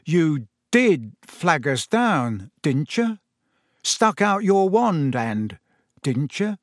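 A man's voice: high voice, very animated, measured pace, dry recording, clean studio sound, voice pushed up, heavily acted. A man voicing a brash, nosy teenage bus conductor with cheeky, slangy speech in a narrated storybook. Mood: suspicious. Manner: cheeky, slangy, dropped sounds and double negatives.

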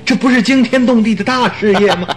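An actor playing an eager, talkative doctor and detective's sidekick in a radio drama, exclaiming theatrically. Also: male voice, extremely high pitch, fast talker, soft background music. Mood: excited, jovial. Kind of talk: Mandarin radio drama acting.

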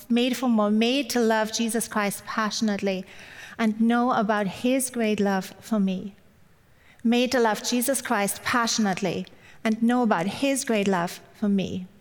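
A middle-aged woman from Germany with a German accent, giving a personal, lightly humorous church sermon as a guest speaker. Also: on the high side. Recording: long hall-like reverb.

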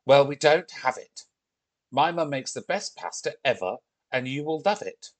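A bossy young storybook girl, as a man puts her on, with a raised pitch. She is outspoken and emphatic, quick to take charge. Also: animated, no room sound, natural voice. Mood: pleading, firm. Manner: scolding, emphatic.

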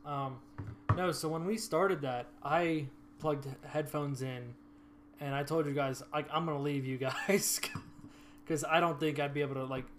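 Man, casual chat, relaxed, higher pitch, faint background hiss. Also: measured pace. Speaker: hiker recounting a trip.

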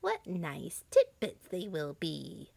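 A woman voicing a wicked old witch from a storybook, malicious and bullying, with drawn-out, scolding commands. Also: on the high side, big pitch swings, gravelly, pushed and bright, no room sound, pitched above the performer's own voice, heavily acted. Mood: gloating, sneering.

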